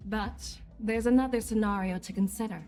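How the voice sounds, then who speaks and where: An actress playing a composed female general in a video game, questioning others in a measured, formal, accusatory manner. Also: medium pitch, warm tone, faint music behind, natural voice. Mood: coolly pressing. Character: composed female general acting as stern, probing interrogator. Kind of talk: scripted game dialogue.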